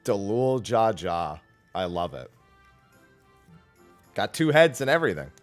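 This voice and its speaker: A man's voice: fairly low pitch, sing-song, unhurried, faint music behind. A man giving casual, off-the-cuff commentary while streaming a video game. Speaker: streamer playing through a story game.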